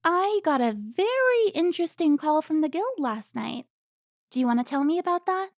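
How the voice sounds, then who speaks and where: A woman plays a stern female vampire hunter from an audio drama. Her voice is on the high side with a gravelly creak, recorded dry and close. She is protective, commanding and quick to scold. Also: big pitch swings, dry recording. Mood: furious, scolding. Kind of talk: cinematic audio roleplay acting.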